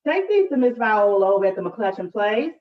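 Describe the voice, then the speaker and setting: A woman's voice: mid-range voice, sing-song, a little fast, close to an everyday voice. A woman voicing a folksy Southern grandmother with rural dialect wording in a children's storybook. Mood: instructing. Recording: echoey room, distant muffled mic.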